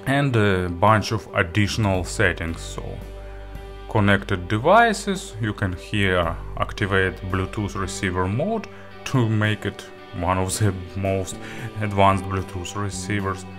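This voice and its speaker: A man presenting a product review, walking through features item by item in halting, non-native phrasing. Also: low-pitched, lively intonation, over music. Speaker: audio gear reviewer.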